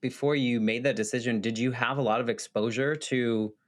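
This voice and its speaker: A man's voice: mid-range voice, steady tone, a little fast. A man hosting a podcast, talking in a reflective, searching way as he frames his questions. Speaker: podcast host. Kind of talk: interview conversation.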